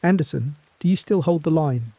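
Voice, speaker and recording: An actor playing an earnest foreign radio correspondent, speaking in a solemn, measured way. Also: male voice, fairly high pitch, animated, dark tone, no echo. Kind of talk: dramatic period radio reporting.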